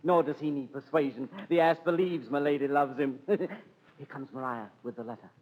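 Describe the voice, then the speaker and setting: A man's voice: mid-range voice, steady tone, moderate pace, close to an everyday voice. A man playing a world-weary court jester, narrating in a wry, confiding way in 1930s radio drama style. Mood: amused, weary. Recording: dull, echoing 1930s radio recording.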